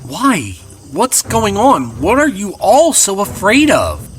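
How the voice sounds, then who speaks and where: A young businessman on a journey in an audio drama. His voice moves widely in pitch, earnest and questioning with growing unease. Music sits faintly behind him. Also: huge pitch swings, slow, heavily acted. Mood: alarmed. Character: young businessman traveler, polite but increasingly alarmed.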